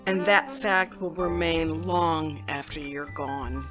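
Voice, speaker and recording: A woman's voice: deep voice, takes it slowly, music in the background. A woman playing a sultry, poised femme fatale wife in a parody noir radio drama. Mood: defiant, pleading.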